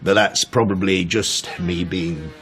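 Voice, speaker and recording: A man's voice: deep voice, fairly even intonation, unhurried, a bit bright, husky, heavily acted. An actor playing a genial, sentimental older father in a radio drama, talking in a hesitant, conversational way. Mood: reflective, sentimental. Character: genial, sentimental older father, semi-retired family furniture boss. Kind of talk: naturalistic radio drama acting.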